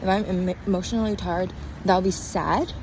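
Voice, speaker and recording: A young adult woman talking in a casual, rambling video diary, halting and candid, often interrupting herself. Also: on the low side, fairly even intonation. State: physically tired. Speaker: vlogger and acting student. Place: outdoors on an urban walk. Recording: outdoor street noise, passing cars. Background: traffic and city ambience.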